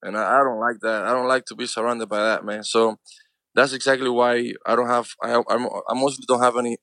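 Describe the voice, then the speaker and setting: A man's voice: mid-range voice, little pitch movement, fairly quick, sharp tone, no room sound. A man around forty with a Cuban accent, Spanish his first language, talking earnestly as an interview guest and peppering his speech with "man" and "you know". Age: 40s. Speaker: actor and filmmaker guest. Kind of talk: casual interview talk.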